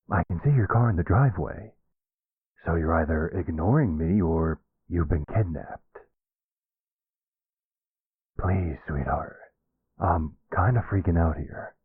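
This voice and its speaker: Husky, low-voiced worried boyfriend, played by a man. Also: dry recording, phone-quality sound, full character voice. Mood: anxious, insistent. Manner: earnest, pleading, exasperated. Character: worried, insistent boyfriend pleading with his partner. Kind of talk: boyfriend roleplay audio drama.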